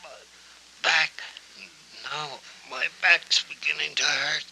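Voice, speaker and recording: Weak old man, slow halting speech, breathy, in pain, strained sharp tone, humming vintage film soundtrack. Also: fairly high pitch, steady tone, a little hiss or hum, heavily acted, poor audio. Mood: dazed, pained. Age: older. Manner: halting, trailing off, repeating words. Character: frail, dazed, injured old dockside witness, rambling and frightened. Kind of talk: 1940s film noir acting.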